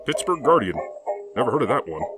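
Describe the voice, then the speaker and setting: Brief: a man as a brisk fatherly elder, quick and low, music bed. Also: big pitch swings, lightly acted. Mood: genial. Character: genial older male football man.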